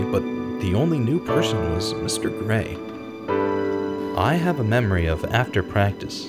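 An actor playing a brooding investigator in a radio drama, earnest and emphatic as he talks and narrates. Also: lowish voice. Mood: sombre recollection. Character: brooding, angry male investigator hunting an abuser. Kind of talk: radio drama dialogue and first-person narration.

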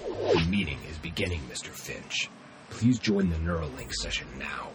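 A man playing a stern, menacing corporate executive who speaks in a measured, demanding way in a sci-fi audio drama. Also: a bass voice, animated, crowd noise. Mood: formal. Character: cold, menacing corporate executive chairing a meeting.

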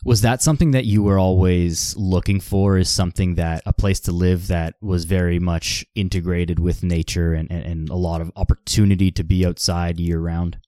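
A man's voice: sits low, steady tone, normal speed, few pauses, mellow, no echo, clean studio sound. A man hosting a podcast, asking questions in easy conversation, often repeating words as he gathers his thoughts. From Canada. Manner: repeats words while thinking, laughs along. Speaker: podcast host. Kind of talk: casual interview hosting.

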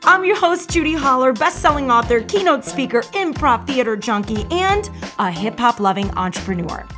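A woman hosting her own podcast in an energetic, slangy, emphatic monologue, her voice a little hoarse. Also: sits high, normal speed, over music. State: slightly hoarse. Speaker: podcast host.

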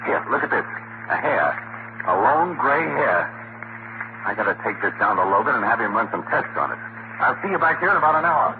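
Brisk radio sleuth, muffled noisy recording. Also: male voice, medium pitch, very animated. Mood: excited, insistent. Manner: brisk, slangy, insistent. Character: quick-witted, eager male newspaper photographer turned amateur sleuth. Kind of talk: 1940s radio drama acting.

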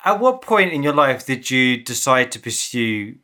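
Bright-toned playful male interviewer. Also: mid-range voice, sing-song, normal speed. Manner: playful, joking, stumbles and repeats words. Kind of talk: casual interview conversation.

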